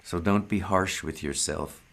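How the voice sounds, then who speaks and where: A man with a deep, low voice teaches at a very slow pace. He delivers a meditative spiritual talk in short, deliberate phrases, leaving long pauses between them. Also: gravelly, fairly bright tone. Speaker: spiritual teacher leading satsang.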